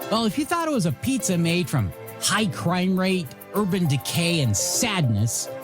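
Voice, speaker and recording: A radio DJ host with a playful, showy delivery, presenting in energetic music-radio patter. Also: medium pitch, moderate pace. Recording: music bed behind voice.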